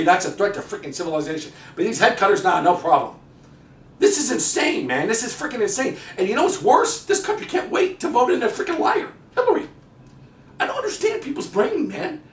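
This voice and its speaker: Heated, sarcastic man ranting fast. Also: high-pitched, reverberant, distant mic. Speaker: political commentator. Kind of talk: ranting monologue.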